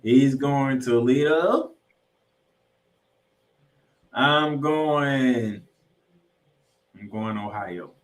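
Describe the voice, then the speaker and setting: A man's voice: mid-range voice, drawn-out words, long pauses, distant mic. Man, sports podcast host, announcer-style football commentary, roomy echo, away from the mic. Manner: announcer-like, addresses listeners as ladies and gentlemen. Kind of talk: animated football preview commentary.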